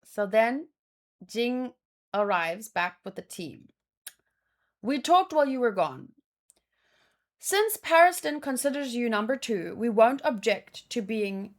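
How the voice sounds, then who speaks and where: A woman with a fairly high-pitched voice reads a story aloud. She voices the dialogue informally and expressively, slipping into offhand commentary as a read-along host would. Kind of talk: reading dialogue aloud with casual reaction commentary.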